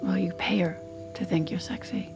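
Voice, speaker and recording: Woman acting a guarded wife with creaky voice. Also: fairly low pitch, steady tone, moderate pace, whispered, music in the background, close to an everyday voice. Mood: probing, insistent. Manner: terse, guarded, insistent. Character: Diana, weary, restless, probing wife in a troubled marriage. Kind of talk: intimate naturalistic audio drama acting.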